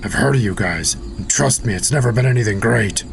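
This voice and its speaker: Low gravelly steady soldier, played by a man. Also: brisk, over music. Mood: firm. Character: patient, level-headed male soldier and mentor. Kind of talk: audio drama acting.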